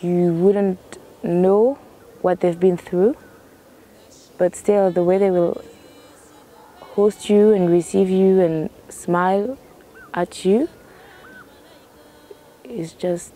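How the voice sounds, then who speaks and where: Slow, thoughtful young woman. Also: lowish voice, steady tone, mellow. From France. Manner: thoughtful, searching for words. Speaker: interviewee. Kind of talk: reflective personal testimony. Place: outdoors in a canoe on a lake.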